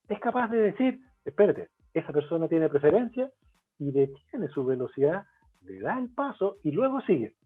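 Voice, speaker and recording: A man from Chile with a Chilean Spanish accent, a guest expert in a webinar interview who explains his points in a lively way and poses rhetorical questions. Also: a little high, normal speed, breathy edge. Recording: tinny phone-like line, faint music.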